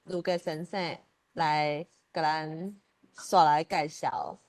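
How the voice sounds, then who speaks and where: Female moderator, Taiwanese accent, lowish pitch, unhurried delivery, close dry sound. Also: fairly even intonation, darkish tone, dry recording. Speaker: host, moderator. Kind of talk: event hosting.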